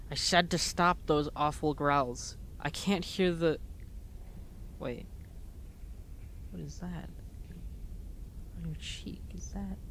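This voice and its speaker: A woman playing a hardened, contemptuous werewolf huntress in an audio roleplay, with a low, creaky voice and a deliberate, menacing delivery. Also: background noise, full character voice. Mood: shaken disbelief. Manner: deliberate, menacing, articulate interrogation. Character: hardened, contemptuous werewolf huntress grieving her missing friend. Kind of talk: scripted audio roleplay, dramatic monologue.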